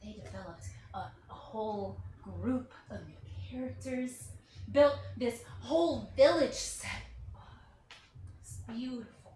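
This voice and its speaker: A brisk, seasoned aid worker, played by a woman in a play reading. She is frank and emphatic, with halting phrases and a slightly high voice. It sounds roomy and a bit far from the mic, with faint noise. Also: mellow. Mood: wistful, emphatic.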